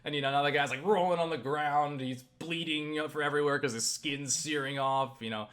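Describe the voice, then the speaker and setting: A man rambling through a casual vlog monologue, halting and circling back on himself, with casual swearing. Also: a little high, fairly even intonation, fairly bright tone, smooth. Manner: halting, self-interrupting, circling back with repeated "you know"; casually profane. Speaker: YouTube vlogger.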